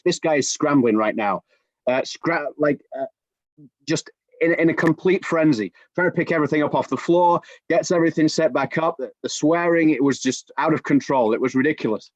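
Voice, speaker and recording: A man in his forties, a soccer commentator, telling an animated story with many restarts on a video-call podcast. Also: medium pitch, no echo. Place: home room with bookshelves. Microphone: video call webcam. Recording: dull, dry video-call audio.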